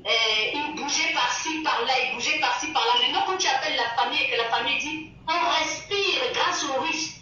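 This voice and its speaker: A high, bright woman's voice, pausing and repeating words as she hunts for what to say. She sounds far from the mic in a very echoey space, and the sound is dull and lo-fi. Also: a little hiss or hum. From Central African Republic. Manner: hesitant, searching for words, many repetitions. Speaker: guest. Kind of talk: casual interview talk, moral advice.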